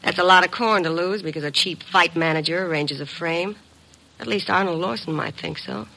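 A woman's voice: on the low side, moderate pace, vocal fry, bright, forceful tone, heavily acted, rough recording. An actress playing a tough, cool female blackmailer with slangy, brassy, insistent talk in an old radio drama. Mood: hard, threatening. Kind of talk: 1950s radio drama acting. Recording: vintage radio broadcast.

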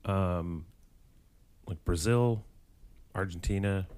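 A man's voice: sits low, takes it slowly, darkish tone. A man co-hosting a casual chat, rambling with fillers and cracking jokes. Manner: rambling, many fillers, jokey. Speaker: podcast co-host.

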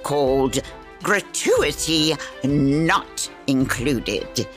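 A woman's voice: deep voice, expressive, extremely slow, soft background music, exaggerated voice. A woman playing a cantankerous old crone who narrates a fantasy tale in pompous, wordy phrases. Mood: haughty narrating. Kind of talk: theatrical storybook narration.

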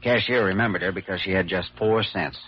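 A man's voice: low-pitched, a little fast, lightly acted. An American man playing an earnest, determined and idealistic young doctor in a classic radio drama, with urgent, clipped delivery. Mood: concerned, reflective. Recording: dull, noisy old radio recording.

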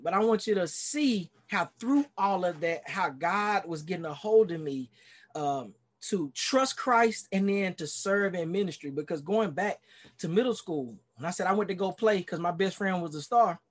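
High-voiced Texan man telling his story. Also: normal speed. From Dallas, Texas. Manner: earnest, rambling, many "you know"s and restarts. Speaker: pastor and podcast guest. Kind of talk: casual interview storytelling. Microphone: video call.

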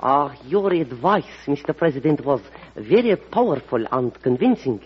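High-voiced, dignified Japanese ambassador. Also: male voice, very animated, slightly rough. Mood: solemn, courteous. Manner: formal, measured. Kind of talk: old-time radio drama acting. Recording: muffled vintage radio recording.

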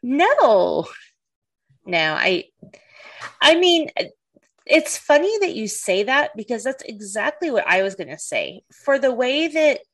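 A woman's voice: on the high side, sing-song, takes it slowly. A middle-aged woman hosting a podcast, chatting casually and restarting her sentences often. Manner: chatty, many restarts and "you know". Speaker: podcast host. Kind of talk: casual chat.

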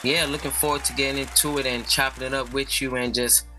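A man from the Bronx, a guest musician, talking in a relaxed, explaining way with lots of "you know". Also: medium pitch, quick pace, a crowd in the background, noisy background. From North Bronx, New York. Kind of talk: casual interview.

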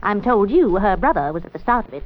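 An actress playing a nagging, indignant busybody neighbor in an old radio drama, scolding and insistent. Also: female voice, medium pitch, big pitch swings, fast, close to an everyday voice. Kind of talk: classic radio drama acting. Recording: muffled old radio recording.

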